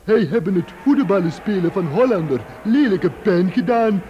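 An actor playing a naive, stubborn, childlike magical creature in an old radio play, speaking earnestly in broken grammar. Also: male voice, sits high, expressive, moderate pace, mellow. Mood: indignant. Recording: dull old radio recording, faint noise.

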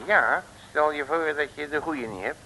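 A man's voice: fairly high pitch, moderate pace, pushed and bright, a little hiss or hum, rough recording. A man playing a cheeky, boisterous detective with folksy slang and jovial bluster in an old comic radio serial. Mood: grumbling, fed up. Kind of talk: 1950s Dutch comic radio serial acting.

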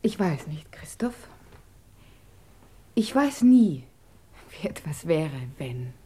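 Radio drama: emotional émigré woman, intimate and hushed, slightly deep, raspy-edged voice. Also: very animated, takes it slowly, full character voice. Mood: resigned. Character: earnest, emotional émigré woman, wistful confidante and colleague. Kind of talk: German radio drama acting.

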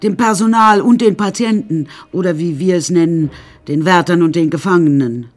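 A woman's voice: lowish voice, moderate pace, natural voice. A woman playing an eccentric painter who tells her troubled life in a wry, rambling, matter-of-fact way, as radio drama narration.

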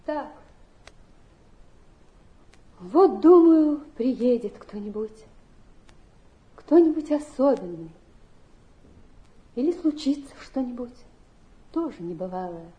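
A woman's voice: a little high, lively intonation, slow, long pauses, muffled recording. An actress playing a fragile, desperate young woman clinging to her dreams, in a plaintive theatrical stage manner. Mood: wistful, melancholy. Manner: plaintive, dramatic stage delivery.

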